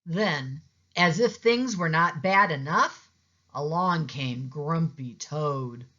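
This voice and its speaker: A woman reading a children's storybook aloud as a teacher, with expressive narration. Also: sits low, takes it slowly. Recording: slightly dull, lo-fi sound.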